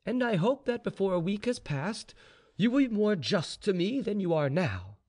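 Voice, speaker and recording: A sly serf informer, voiced by a man reading aloud, pitched high with very lively swings. He is obsequious toward his master yet quietly confident, pressing his bargain insistently in an old storybook manner. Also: normal speed.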